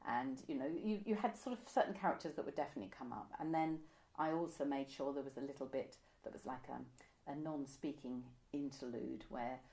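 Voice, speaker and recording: A woman's voice: very deep voice, brisk, few pauses, a little mellow. An older woman, reminiscing casually in an interview in a chatty, self-correcting way. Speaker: interviewee, amateur pantomime writer. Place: indoor room by a window, seated.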